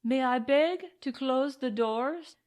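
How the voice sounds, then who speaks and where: A woman's voice: high-pitched, slow, a little mellow. Woman reading as a grave, humble old padre. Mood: grave, penitent. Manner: formal, courteous, penitent. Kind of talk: audiobook western character.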